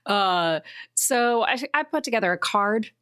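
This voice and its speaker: A woman's voice: medium pitch, expressive, measured pace, fairly bright tone, smooth. A woman chatting casually as a cast member on a podcast. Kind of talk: casual chat.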